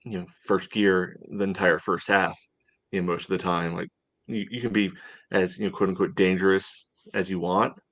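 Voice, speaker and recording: A man co-hosting a soccer podcast, recapping casually and haltingly, leaning on "you know" a lot. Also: sits low, little pitch movement, fairly bright tone, no echo. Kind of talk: casual match recap chat.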